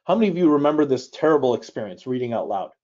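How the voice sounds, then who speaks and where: A middle-aged man raised in Chicago, a native Polish speaker, teaches a live online class at a mid-range pitch. He talks in a relaxed, conversational way, tosses questions to his viewers and adds small jokes. The stream audio sounds a bit dull and lo-fi. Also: rapid-fire. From Chicago, United States. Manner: conversational, asks the audience questions, light jokes. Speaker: reading instructor leading a live online class. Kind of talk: live webinar instruction. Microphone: personal mic on a webcam live stream.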